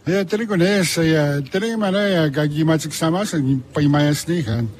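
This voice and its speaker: A man whose first language is Cree, being interviewed as an author, speaking haltingly with many restarts. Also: a little high, darkish tone, a little hiss or hum. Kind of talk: casual interview talk.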